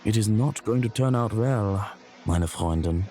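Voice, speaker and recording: A man's voice: lowish voice, a little slow, warm tone, soft background music, lightly acted. An actor playing a surly, ominous servant in a visual novel, coolly insolent in his scripted warnings. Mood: cold, insolent.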